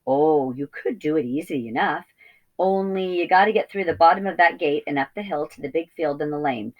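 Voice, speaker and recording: A woman's voice: deep voice, fairly quick, lo-fi sound. Woman putting on a folksy, blunt old ewe. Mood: folksy, friendly. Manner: rustic, folksy, plain-spoken.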